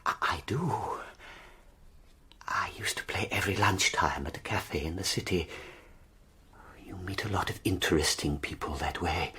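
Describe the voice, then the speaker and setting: An actor playing a nervous, down-on-his-luck war veteran in a radio play, hesitant and plaintive, with a husky, breathy voice. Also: sits low, moderate pace, pauses often, pushed and bright, exaggerated voice. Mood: haunted, hushed.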